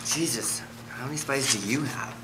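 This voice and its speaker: A man's voice: medium pitch, normal speed, heavy background noise, close to an everyday voice. A man playing a guarded, troubled young student with dry humour in a script table reading. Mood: earnest, pleading.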